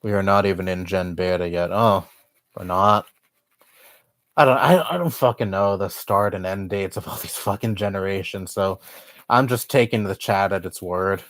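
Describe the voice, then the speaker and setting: A man's voice: low-pitched, moderate pace, fairly bright tone, no echo. A young man streaming live, answering chat in a blunt, rambling way and swearing often.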